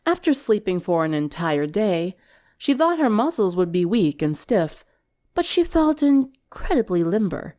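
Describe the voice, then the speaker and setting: Expressive woman narrator with lively pitch. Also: measured pace. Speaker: story narrator. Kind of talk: expressive fan fiction reading, scripted narration.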